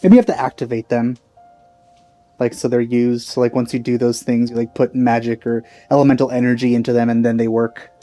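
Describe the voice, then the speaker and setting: Mellow man musing over a video game. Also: medium pitch, flat delivery. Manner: musing, hesitant, halting phrasing. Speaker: let's play host. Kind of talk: casual game commentary. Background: game music.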